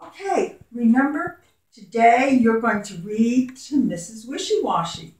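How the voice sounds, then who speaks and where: A woman's voice: a little high, lively intonation, a little slow, a little mellow. A woman who is a former teacher, reading a picture book aloud to young children in her home classroom, asking coaxing, sing-song questions. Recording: very echoey room, voice far from mic.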